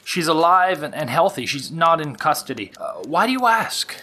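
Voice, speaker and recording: Casting: courteous but nervy gentleman sleuth for audio drama; bright voice, lively pitch, halting stammer. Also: heavily acted. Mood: polite, probing. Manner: hesitant, stammering, polite. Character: Doctor Watson, polite, nervous gentleman investigator.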